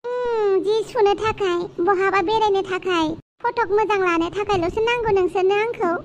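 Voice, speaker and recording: A woman's voice: very high voice, normal speed, a little hiss or hum. A woman voicing a proud, touchy sun queen in a cartoon, boastful and complaining. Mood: aggrieved. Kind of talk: cartoon dialogue.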